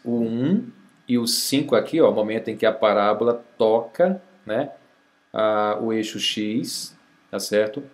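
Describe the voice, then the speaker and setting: Slow, patient Brazilian male math teacher. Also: mid-range voice. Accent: Brazilian Portuguese. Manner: slow, patient explaining with frequent check-ins. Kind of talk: recorded math review lesson.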